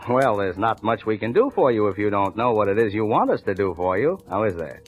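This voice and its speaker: An actor playing a polite, slightly condescending lawyer in a 1940s radio drama, formal and measured. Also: male voice, lowish voice, sing-song. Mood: polite, patronising. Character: polite, brisk, patronising male lawyer in his office. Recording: dull old radio recording with music.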